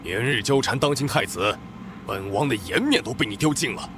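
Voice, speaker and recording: A man's voice: mid-range voice, lively intonation, brisk, background noise, natural voice. An actor playing a stern older noble father who scolds his daughter in a commanding tone. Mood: scolding. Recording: music behind the voice.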